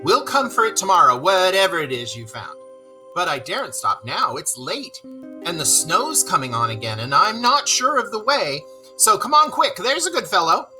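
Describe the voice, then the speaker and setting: Reader voicing a brisk, lively storybook rat. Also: mid-range voice, faint music behind, voice pushed up, low-quality recording. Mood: brisk, hurried. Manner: cheerful, brisk, coaxing. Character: brisk cheerful rat, a hurried good fellow.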